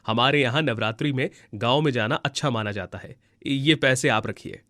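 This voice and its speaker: A man's voice: mid-range voice, moderate pace, fairly bright tone, dry recording, studio quality, natural voice. A man playing a polite, earnest and caring grown son and employer in a devotional story. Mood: grateful. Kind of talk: Hindi story acting.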